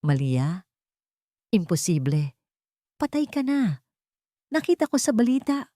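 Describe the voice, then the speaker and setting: A woman's voice: mid-range voice, sing-song, measured pace, dark tone, no room sound, pitched above the performer's own voice. A loyal Filipino man, a lawyer and protective friend , voiced by a woman narrator. He speaks earnestly in a storybook drama. The recording is dry. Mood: stunned.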